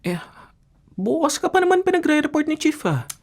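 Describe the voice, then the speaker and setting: An actor with a Filipino accent playing a cautious, grumbling racing teammate who is easily talked round, in a radio drama. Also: male voice, very high-pitched, sing-song, fairly quick, exaggerated voice. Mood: worried, reluctant.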